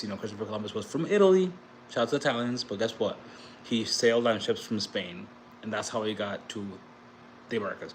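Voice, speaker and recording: A man's voice: fairly low pitch, slight background noise. A young man of Dominican heritage talking in a casual vlog monologue, rambling with lots of fillers and restarts. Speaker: crypto vlogger.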